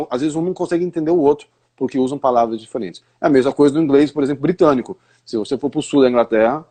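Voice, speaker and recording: A man's voice: mid-range voice, warm tone. A Brazilian man, an English teacher, explaining pronunciation in a live stream conversation, often asking rhetorical questions. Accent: Brazilian Portuguese.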